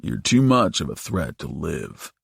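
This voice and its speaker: Man voicing male demon hero, gruff, gravelly, laconic, weary, close dry audiobook sound. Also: low-pitched, very animated, measured pace, no echo, voice pushed down, heavily acted. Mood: grim, dry. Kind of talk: audiobook character voice.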